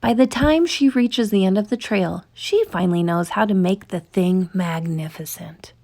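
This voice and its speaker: A woman reading a children's picture book aloud in an expressive, deliberate storytelling manner, stretching words for emphasis. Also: mid-range voice. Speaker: storytime reader.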